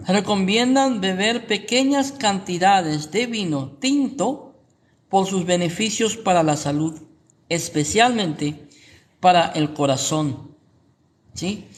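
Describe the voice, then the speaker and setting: A Guatemalan man with a Guatemalan Spanish accent, a pastor giving informal Bible teaching and checking in with rhetorical questions. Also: high voice. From Guatemala City, Guatemala.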